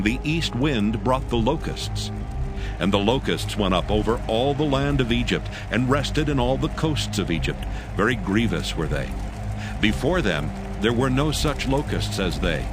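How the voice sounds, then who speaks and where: A man narrating dramatized scripture in a solemn, measured, scripted manner. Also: on the low side. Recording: music under the narration.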